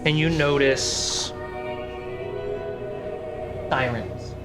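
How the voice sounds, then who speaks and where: A game master narrating a tabletop role-playing game in a measured way, pausing between phrases, with music behind the voice. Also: mid-range voice, steady tone. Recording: background music under the voice.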